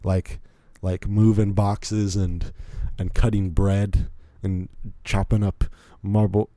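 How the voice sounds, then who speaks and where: A man's voice: lowish voice, little pitch movement, slow, mellow, no echo. A young American man from Virginia hosting a solo podcast, telling stories in a rambling, chatty way with many asides. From Virginia, United States. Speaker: podcast host. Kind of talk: casual solo podcast storytelling.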